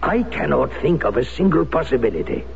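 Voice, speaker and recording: A man's voice: mid-range voice, very animated, fairly quick, gravelly. An actor playing a grave, dejected Frenchman who speaks in a formal way. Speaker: actor in a radio drama. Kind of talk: 1970s radio drama acting. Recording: dull old radio recording, faint hiss.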